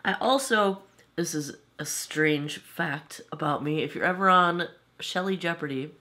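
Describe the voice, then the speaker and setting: A woman's voice: low-pitched, a little slow, pushed and bright. A woman in her forties giving a chatty, self-amused makeup review at her home vanity, her voice slightly off from a cold. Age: 40s. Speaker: beauty vlogger.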